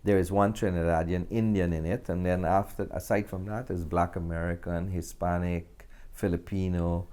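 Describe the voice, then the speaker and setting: Low-voiced Guyanese man, pausing as he talks. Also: steady tone, mellow. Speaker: interview guest, actor and filmmaker. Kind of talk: casual interview talk.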